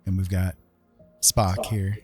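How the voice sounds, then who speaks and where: A man's voice: low-pitched, flat delivery, slow pace, soft background music. A man hosting a livestream in casual chat, rambling and restarting his sentences as he goes. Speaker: livestream host.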